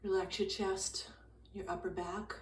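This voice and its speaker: A woman guiding a meditation in a calm, gentle, unhurried way, leaving long pauses between short phrases. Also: medium pitch. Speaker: meditation guide. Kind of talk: guided meditation, slow soothing instruction. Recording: roomy echo, distant mic, faint noise.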